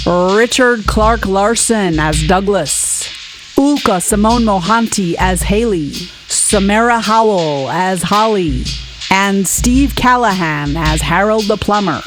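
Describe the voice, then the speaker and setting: Slow-paced woman announcer over music. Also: medium pitch, poor audio. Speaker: host and announcer. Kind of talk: scripted credits announcement.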